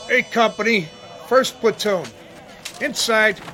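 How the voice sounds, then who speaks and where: An actor playing a dutiful, tough army sergeant in a radio drama, talking in clipped military reports. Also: male voice, sits high, slow. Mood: barking orders. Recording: radio drama with music underneath.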